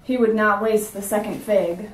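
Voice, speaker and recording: A woman teacher reading a picture book aloud in a clear, measured storytelling manner. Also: mid-range voice, steady tone. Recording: roomy sound from a distance.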